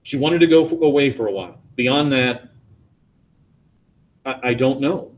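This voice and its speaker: A man voicing an anguished, weary middle-aged father in audiobook dialogue, speaking haltingly and with emphasis. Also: medium pitch, close to an everyday voice. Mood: weary, conciliatory.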